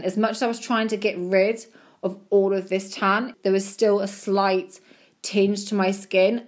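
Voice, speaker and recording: A woman giving chatty, encouraging advice about bodybuilding show prep, her voice slightly croaky. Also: medium pitch. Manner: chatty, encouraging, repeats words for emphasis. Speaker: bodybuilding competitor and podcast host. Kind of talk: casual advice monologue.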